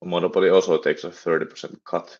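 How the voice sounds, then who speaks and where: A young man from Finland with a Finnish accent, chatting on a live stream and talking haltingly with many restarts and fillers. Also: sits low, level tone, darkish tone, muffled recording. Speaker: livestreaming startup developer. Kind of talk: casual live stream chat.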